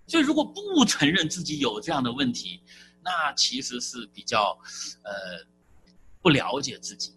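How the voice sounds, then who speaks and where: Lively Chinese-speaking preacher. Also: male voice, medium pitch, normal speed, music in the background. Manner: lively, conversational preaching with fillers. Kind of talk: sermon.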